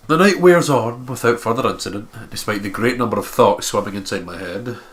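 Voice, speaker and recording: A man's voice: lowish voice, fairly quick. A man reading a visual novel aloud as narration, expressively, with casual asides.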